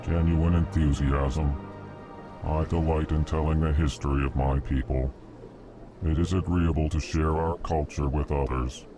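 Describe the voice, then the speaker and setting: A man voicing a huge, courteous alien ambassador in a video game, speaking in a formal monotone and flatly naming each feeling before he speaks. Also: rumbling low, a little slow, soft background music. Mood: polite, deadpan. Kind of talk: video game character dialogue.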